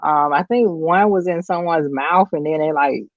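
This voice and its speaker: A woman's voice: deep voice, moderate pace, no room sound, narrow, tinny audio. A woman guest on a movie podcast, casually retelling film scenes with lots of "like" fillers. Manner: chatty retelling with many fillers like "like". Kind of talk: casual chat.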